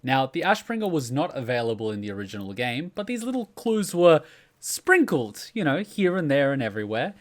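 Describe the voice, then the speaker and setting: A man's voice: medium pitch, big pitch swings, brisk. A man explaining video games in casual, informal chat, with some swearing.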